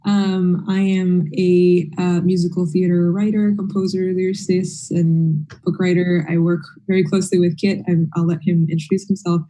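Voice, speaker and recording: A woman's voice: on the low side, level tone, normal speed, few pauses, mellow, poor audio. A woman from Boston who writes musical theater, introducing herself casually on a panel, friendly with fillers. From Boston, USA.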